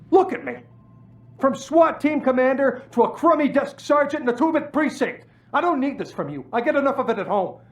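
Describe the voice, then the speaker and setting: Game role: demoted cop, self-pitying and aggrieved, highly varied intonation. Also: male voice. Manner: aggrieved, defensive, emotional. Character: bitter, self-pitying, demoted male police desk sergeant. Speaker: video game character. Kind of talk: cinematic game dialogue.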